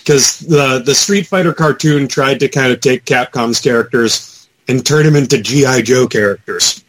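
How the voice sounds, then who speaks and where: A man's voice: mid-range voice, normal speed. A man talking casually and enthusiastically as an opinionated panelist in a group podcast chat.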